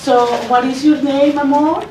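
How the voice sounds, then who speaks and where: A middle-aged woman from Colombia with a Colombian accent, a native Spanish speaker, giving an emotive, deliberate motivational talk to parents and children and repeating phrases for emphasis. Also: high-pitched, steady tone, dark tone. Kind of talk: motivational presentation. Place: school classroom, indoors. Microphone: camera some meters away. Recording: roomy, noisy classroom, distant mic.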